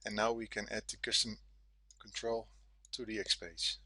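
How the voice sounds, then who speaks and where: A man with a Dutch accent, a native Dutch speaker, giving a software tutorial in careful, simple phrases. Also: fairly low pitch, level tone, moderate pace, no echo. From Netherlands. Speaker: software developer, guest presenter.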